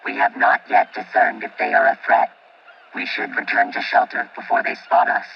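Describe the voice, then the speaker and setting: A man's voice: normal speed, highs cut off, a little hiss or hum. A man playing a stiff, protective combat robot in an audio drama, speaking formally and literally through a robotic filter. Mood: cautious. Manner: formal, clipped, literal phrasing.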